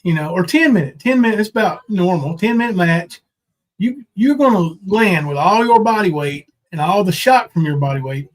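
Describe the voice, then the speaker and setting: High-pitched folksy man in his fifties. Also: animated, normal speed. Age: 50s. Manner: colloquial, folksy banter. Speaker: podcast host, former pro wrestler. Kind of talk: casual reminiscing chat.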